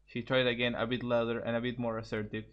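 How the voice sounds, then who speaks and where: A man's voice: medium pitch, completely flat delivery, fast, muffled recording. A man reading a story aloud informally, often stumbling and repeating phrases as he goes. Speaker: co-host reading fan fiction aloud.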